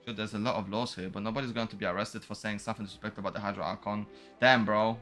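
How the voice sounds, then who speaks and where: A man's voice: fairly low pitch, steady tone, racing, a bit bright, faint music behind. A man giving casual, joking game commentary with slang and swearing, sometimes reading dialogue aloud. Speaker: game streamer.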